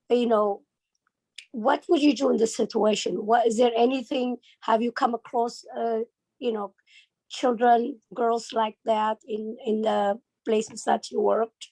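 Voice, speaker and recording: A woman from Ethiopia putting a question to a panel, hesitant and working through her words slowly. Her voice is fairly high, and the sound is close and dry. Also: a little mellow, dry recording, low-quality recording. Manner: hesitant, with many fillers. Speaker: audience member asking a question. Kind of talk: asking a question in a panel talk.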